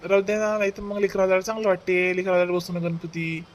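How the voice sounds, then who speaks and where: A man's voice: high-pitched, monotone, quick pace, slight background noise, close to an everyday voice. A man voicing a grumbling village family man in a comedy cartoon, speaking colloquial Vidarbha Marathi in a complaining manner. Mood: reluctant.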